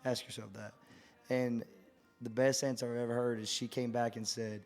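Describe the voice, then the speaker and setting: A man's voice: medium pitch, flat delivery, normal speed, soft background music. A man co-hosting a podcast, reflecting in casual chat over drinks.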